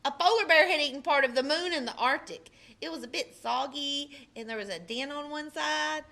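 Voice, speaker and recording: A woman, a librarian, reading a children's picture book aloud with expressive delivery. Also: sits high, normal speed, gravelly. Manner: expressive, puts on character voices in quotes. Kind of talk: storybook read-aloud.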